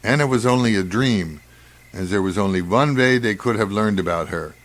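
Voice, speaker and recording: A man acting the role of a suspicious, brooding doctor on a space crew, deliberate and ominous in radio drama style. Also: fairly low pitch, fairly bright tone, lightly acted, low-quality recording. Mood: haunted. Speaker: actor in amateur radio play.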